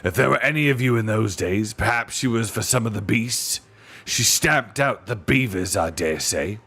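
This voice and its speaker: Storybook dwarf, male reader: cold, proud, combative, sneering, lively rise and fall. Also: lowish voice, normal speed, exaggerated voice. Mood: cold defiance. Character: bitter, defiant male dwarf, cold and quarrelsome.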